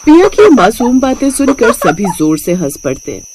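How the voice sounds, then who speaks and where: A woman's voice: fairly high pitch, big pitch swings, moderate pace, darkish tone, slightly rough. A woman narrating a scripted cartoon story as its storyteller.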